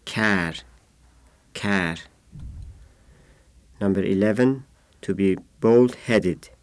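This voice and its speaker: Very slow-speaking Iranian man, dry close sound. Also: fairly low pitch, clear, resonant tone, no room sound. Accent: Iranian (Yazdi). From Yazd, Iran. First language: Persian (Yazdi dialect). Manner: deliberate, isolated words with numbered glosses. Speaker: language informant. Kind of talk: reading a word list aloud.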